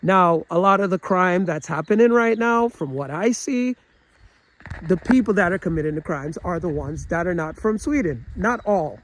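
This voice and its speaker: A man's voice: high-pitched, animated, normal speed, a bit bright, a little hiss or hum. A man giving an opinionated, casual vlog monologue, earnest and rambling, often restarting his sentences with fillers. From United States. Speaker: travel vlogger.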